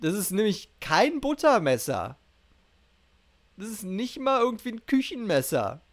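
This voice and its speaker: A man's voice: high-pitched, very animated, unhurried. A middle-aged man giving casual game commentary on a headset, musing incredulously as he goes. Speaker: streamer. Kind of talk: casual game commentary, reading dialogue aloud. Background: game music.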